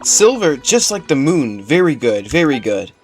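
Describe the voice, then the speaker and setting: A man's voice: a little high, very animated, normal speed, soft background music. A man voicing a small timid forest spirit in a video game, with simple, childlike phrasing. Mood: earnest.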